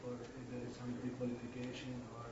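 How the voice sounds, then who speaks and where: Flat, quick man interpreting from afar. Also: mid-range voice, lo-fi sound. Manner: flat, quick relay of the question. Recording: distant, very echoey, noisy.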